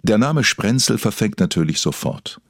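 A man narrates a mock documentary in a deep, husky voice. His reading is formal and deadpan yet varied in melody, recorded close and dry. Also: moderate pace.

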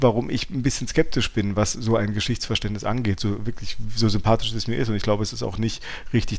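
A man with a fairly low-pitched voice co-hosts a talk podcast. He speaks in a reflective, analytical way, thinking aloud and often correcting himself mid-sentence. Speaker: podcast co-host. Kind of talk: reflective philosophical discussion.

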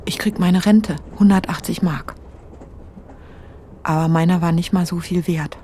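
Actress as a low-voiced, resolute young woman. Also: steady tone, a little slow, dark tone, a little hiss or hum, lightly acted. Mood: frank, bitter. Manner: frank, colloquial. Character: resolute, plain-spoken young war widow resettling. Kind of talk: intimate radio play dialogue.

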